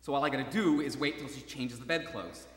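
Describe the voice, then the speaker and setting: An actor playing a maimed young soldier, desperately hopeful, in a halting, intense dramatic monologue that moves between murmurs and cries. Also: male voice, mid-range voice, fairly bright tone, close to an everyday voice. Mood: tense, hushed. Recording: reverberant hall, stage performance.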